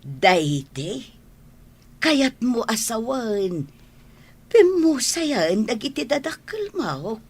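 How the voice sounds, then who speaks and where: Audio drama maid, older, caring and practical, played by a woman with very varied pitch. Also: big pitch swings, drawn-out words, long pauses, slightly rough, full character voice. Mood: curious, motherly. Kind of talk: audio drama acting in dialogue.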